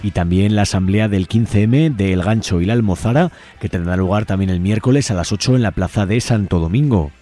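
A man's voice: fairly low pitch, a little fast. A man hosting radio, reading out community event announcements in an informal, conversational way. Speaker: radio show host. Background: music.

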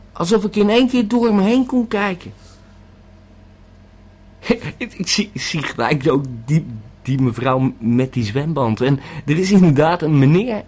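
Audio drama: male actor as bewildered, panicky tourist on a bad trip, fairly high voice, halting and slow delivery with repeated words. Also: darkish tone. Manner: stammering, hesitant, repeating words. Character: panicky, bewildered male holidaymaker tripping on a pill. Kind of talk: first-person audio drama monologue.

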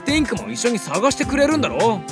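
A man voicing a cheerful, relaxed younger brother who talks casually and calms his older brother, in a drama CD. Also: extremely high pitch, fast talker, music in the background. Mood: easygoing. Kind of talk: anime drama CD acting.

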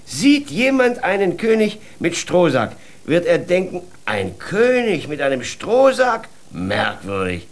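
A man's voice: sits high, huge pitch swings, takes it slowly, hardly any pauses, exaggerated voice. An actor playing a poor, whimsical father who earnestly plays the king in a children's radio play. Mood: grandly confident.

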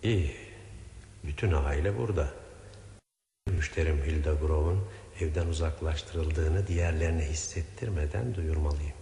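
An actor playing a shrewd, scheming male investigator in a Turkish radio drama, polite and guarded, with deliberate phrasing. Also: a bass voice, creaky. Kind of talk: Turkish radio theater acting.

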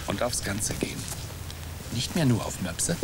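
Dry, deadpan plotter, noisy recording. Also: male voice, on the low side, measured pace. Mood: conspiratorial. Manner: deadpan, matter-of-fact. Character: dry, conspiratorial man, earnest partner in absurd plots. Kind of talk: German radio drama acting.

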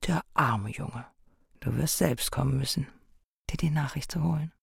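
A woman's voice: a bass voice, moderate pace, creaky, breathy edge, heavily acted. A woman playing a proud, aloof young villager in a radio play, curt and grave, sometimes dropping to a whisper. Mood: grave, pitying. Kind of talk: literary radio play dialogue.

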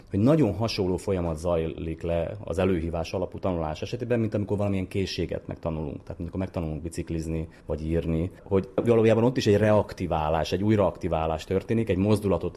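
Man, very low pitch, mellow dark tone, academic expert, articulate explanatory interview delivery. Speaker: psychologist and brain researcher, interview guest.

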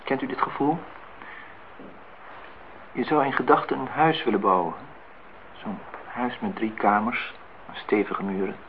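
An actor playing a brooding, insistent, melancholy engineer in a radio play. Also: male voice, mid-range voice, lightly acted. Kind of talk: radio play dialogue and monologue. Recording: muffled, noisy old radio-play recording.

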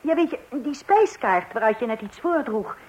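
A woman's voice: a little high, lively intonation, normal speed, a little mellow, lightly acted. A woman playing a dry, sarcastic wife. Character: dry, sceptical wife Jean. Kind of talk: radio play acting. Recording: dull old radio recording.

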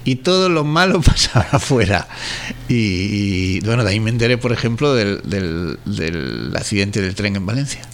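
A man's voice: on the low side, sing-song, normal speed. A man with a European Spanish accent talking casually as a podcast co-host. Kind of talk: casual conversation.

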